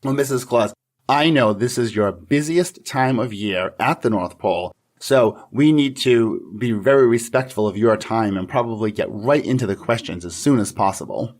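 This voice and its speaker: A man's voice: medium pitch, normal speed. A man hosting a podcast, interviewing in a polite, playful, partly scripted style.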